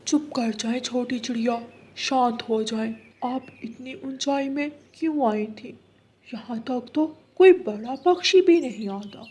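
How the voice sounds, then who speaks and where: A woman voicing a kind, gentle male uncle cloud in a children's cartoon, with a soothing, polite manner. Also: fairly high pitch, unhurried, slightly rough. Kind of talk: Hindi children's cartoon story acting.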